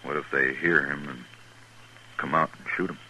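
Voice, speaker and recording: Tense, shifty frontier gambler. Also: male voice, extremely low pitch, fairly even intonation, normal speed, bright, forceful tone, exaggerated voice. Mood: fearful, brooding. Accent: American. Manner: tense, edgy, urgent. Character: shifty, nervous frontier gambler turned informer and schemer. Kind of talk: 1950s western radio drama acting. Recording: noisy vintage radio recording.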